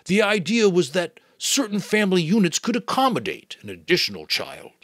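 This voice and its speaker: A man voicing a wise old male keeper of memories who speaks slowly and gravely. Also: high-pitched, huge pitch swings, fairly bright tone, no room sound, studio quality, voice pushed up, heavily acted. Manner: slow, measured.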